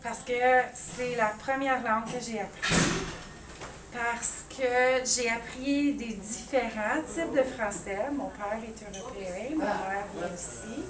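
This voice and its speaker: A woman's voice: fairly high pitch, slow. A woman giving a casual, thoughtful interview answer. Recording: echoey, distant mic, crowd chatter.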